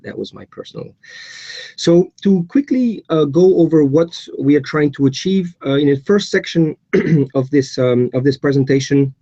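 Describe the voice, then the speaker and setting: Belgian-accented man, mellow tone, halting webinar delivery with many restarts, dry close sound. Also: medium pitch, dry recording, lo-fi sound. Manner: hesitant, many repeats and fillers. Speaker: guest speaker. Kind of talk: webinar presentation.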